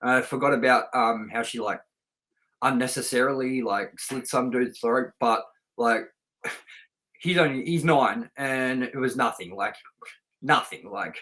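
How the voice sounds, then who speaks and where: A man's voice: mid-range voice, a little slow, pushed and bright. A middle-aged man hosting a film review show, talking in a casual, unscripted, chatty way that rambles through personal anecdotes.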